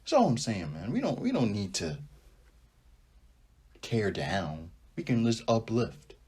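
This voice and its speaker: A young man hosting a sports podcast, talking casually and rambling with animated asides as he thinks out loud. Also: lowish voice, a little slow, husky. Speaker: podcast host. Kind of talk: casual sports talk monologue.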